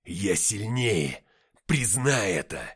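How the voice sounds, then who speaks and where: A man voicing a proud, taunting half-angel warrior in an audiobook, with slow, emphatic delivery. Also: low voice, a bit bright, slightly rough, voice pushed down, exaggerated voice. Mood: fierce, triumphant. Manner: emphatic, slow, drawn-out declarations.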